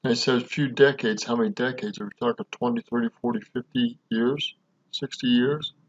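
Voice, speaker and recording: A man's voice: medium pitch, steady tone, normal speed, lo-fi sound. A man commenting on a video in an emphatic, questioning way. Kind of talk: casual commentary.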